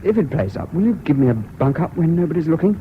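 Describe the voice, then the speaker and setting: A man's voice: a little high, big pitch swings, quick pace. A jaunty young British serviceman, reckless and breezy, with clipped lines that swing up and down. The old radio play recording is muffled and noisy. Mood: stoic. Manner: breezy, clipped, understated officer-class speech. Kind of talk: 1970s BBC radio drama acting.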